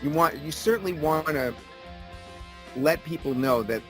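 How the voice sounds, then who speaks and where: A man being interviewed as a guest, pitching his own product persuasively and repeating key details for emphasis. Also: moderate pace, a bit bright, soft background music.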